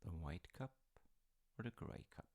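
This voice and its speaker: A man playing a gentle, slightly fussy middle-aged dad in an intimate audio roleplay, chatting in a hesitant, musing, softly wry way. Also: sits low, expressive, normal speed. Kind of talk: intimate audio roleplay, improvised-sounding chat.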